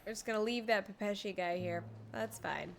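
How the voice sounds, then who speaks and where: A woman's voice: mid-range voice, brisk, creaky, a little hiss or hum. A woman streaming a game, making casual, musing reactions and comments.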